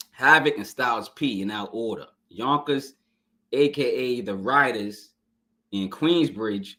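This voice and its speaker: A man's voice: mid-range voice, a bit bright. A man hosting a podcast album review in a casual, hyped, slangy way, speaking straight to his viewers, his voice scratchy this morning. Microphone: live stream podcast mic.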